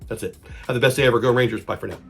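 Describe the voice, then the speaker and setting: A man with a hoarse voice from a cold, hosting a casual sports fan vlog and talking chatty and repetitive. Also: medium pitch, steady tone, rapid-fire, over music. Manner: chatty, repeats himself, fan talk. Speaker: channel host.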